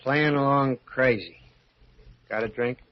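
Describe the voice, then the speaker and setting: A man's voice: mid-range voice, measured pace, close to an everyday voice. An actor playing a sly, overfamiliar and menacing man in an old-time radio thriller, with a needling drawl. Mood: taunting, overfamiliar. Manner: drawling, needling, swooping delivery. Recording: muffled vintage radio recording.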